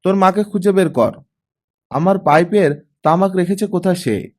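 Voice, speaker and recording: A man's voice: sits high, moderate pace, pitched above the performer's own voice. A man voicing a short-tempered, impatient father in his late fifties for an audiobook. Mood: irritated. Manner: impatient, snapping, demanding. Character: short-tempered heavyset father of fifty-eight. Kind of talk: audiobook character acting.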